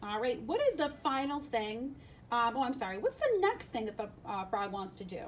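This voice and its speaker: A female teacher with a fairly high voice leads a lesson for young children. She asks questions expressively and sounds a little away from the mic, over faint noise. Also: big pitch swings, normal speed. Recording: slightly distant mic, faint rumbling noise.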